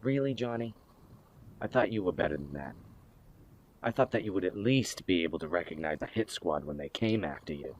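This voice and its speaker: A man's voice: low-pitched, lively intonation, a little fast, a little mellow, dull audio, slight background noise. A man playing a smug, menacing gang boss in an audio drama, taunting and condescending as he draws out his words. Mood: mocking. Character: smug, menacing male boss of a hit squad.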